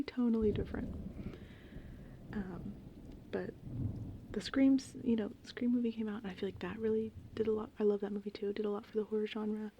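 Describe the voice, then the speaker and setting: A woman rambling casually and informally in an ASMR style, with a soft, breathy voice that often slips into a whisper. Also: fairly high pitch, lo-fi sound, a little hiss or hum. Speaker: ASMR host. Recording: steady rain and thunder ambience. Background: gentle rain and thunderstorm sounds.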